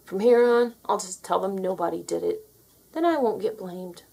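A woman voicing an excitable, imaginative and sensitive young boy in a storybook. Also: mid-range voice, normal speed, creaky, slightly rough, low-quality recording. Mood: sullen muttering.

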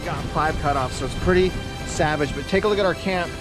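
The guy narrating his own beach fishing vlog, a lightish male voice, slangy and easygoing, with music loud underneath. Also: a little high, a little fast. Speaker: fishing vlogger. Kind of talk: casual outdoor vlog chat. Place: open windy beach, sometimes inside a canopy tent.

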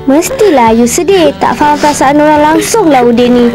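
A woman's voice: high-pitched, lively intonation, brisk, over music. A woman voicing a caring, bossy young big sister in a cartoon. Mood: scolding. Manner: earnest, scolding, motherly. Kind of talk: cartoon voice acting.

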